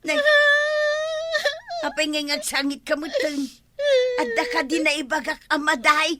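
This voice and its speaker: A woman's voice: high-pitched, animated, unhurried, very noisy. A woman with an Ilocano accent playing a pushy, persuasive village woman in a comedy radio drama. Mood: nagging.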